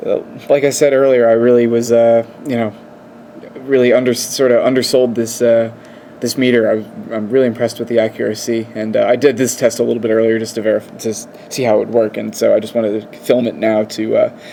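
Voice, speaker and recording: A man giving a casual hands-on demonstration, explaining things in a hesitant, halting way. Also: medium pitch, flat delivery, gravelly, mellow. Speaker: presenter of a radio equipment test. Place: indoors at a wooden workbench. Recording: faint room noise. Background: faint background noise.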